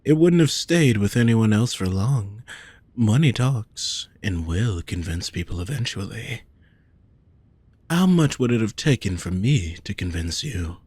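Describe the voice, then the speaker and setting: A man playing a possessive, lovesick wealthy nobleman in a romantic audio roleplay, speaking formally and deliberately to the listener. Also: lowish voice, animated, a little mellow, husky, no echo. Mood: probing, taunting. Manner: formal, measured, deliberate phrasing.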